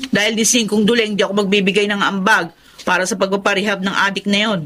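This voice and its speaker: Actress doing a snippy resentful daughter, scornful, radio drama. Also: female voice, mid-range voice, little pitch movement, fast, fairly bright tone, natural voice. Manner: scornful, scolding. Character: bitter resentful adult child, sharp-tongued.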